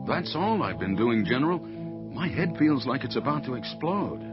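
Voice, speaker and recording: A man's voice: mid-range voice, big pitch swings, normal speed, close to an everyday voice. A man playing an earnest, troubled military defector, intense, in a science fiction radio drama. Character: earnest, troubled male officer defecting to rebels. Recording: dull lo-fi audio with music behind.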